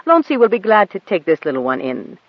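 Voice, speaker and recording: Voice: medium pitch, big pitch swings, brisk, dry recording, muffled recording, pitched above the performer's own voice, lightly acted. Narrator doing a folksy kindly pilot. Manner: warm, folksy, drops g's. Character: kind, blunt airship pilot man.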